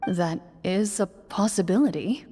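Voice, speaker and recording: A woman voicing a composed, stern young duelist in a video game, formal and deadpan in her delivery. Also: mid-range voice, unhurried, in a large hall, soft background music, natural voice. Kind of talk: scripted video game voice acting.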